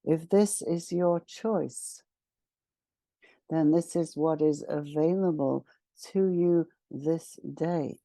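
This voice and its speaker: Female meditation guide: extremely slow, solemn and ceremonial, soft-edged mellow tone, long pauses between phrases. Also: deep voice, dry recording. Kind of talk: guided meditation, spiritual invocation and channelled message.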